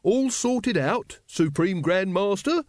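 A man's voice: sits high, expressive, takes it slowly, darkish tone, no room sound, clean studio sound, voice pushed up. A man voicing a fawning, officious member of a secret order, obsequious in manner, in a comic fantasy storybook.

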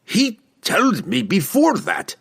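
A man's voice: high-pitched, wildly swooping pitch, measured pace, vocal fry, fairly bright tone, slightly rough, voice pushed up, heavily acted. A man voicing a flustered, stubborn old dwarf king with a blustering manner and rustic dialect in an audiobook. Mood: insistent, confused.

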